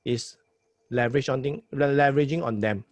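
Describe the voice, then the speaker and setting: A man's voice: medium pitch, fairly quick, no echo. A Singaporean man with a mid-range voice talks a newcomer through podcasting in an emphatic, repetitive way. His sound is close and dry, with no room around it. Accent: Singaporean English. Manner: emphatic, repeats words, tag questions like "right?". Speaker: podcast host advising a new host. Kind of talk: casual advice chat.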